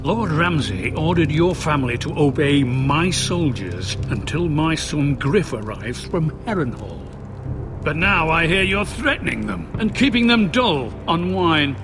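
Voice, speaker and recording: A smug bully lord in a fantasy game, slow taunting talk, voice swings all over. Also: male voice, a little high, animated. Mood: menacing, gloating. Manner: smug, mocking, slow and drawn-out. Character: arrogant scheming lord, a gloating middle-aged bully. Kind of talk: dramatic video game acting. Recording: music behind the voice.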